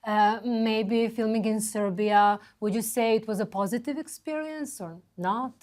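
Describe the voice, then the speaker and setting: A woman interviewer asking questions at a festival, slowly and haltingly, with many "uh" fillers. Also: on the high side, darkish tone. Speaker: interviewer, host.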